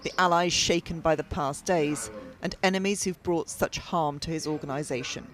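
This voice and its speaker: A woman's voice: low-pitched, moderate pace. A woman delivering a scripted television news report as a correspondent.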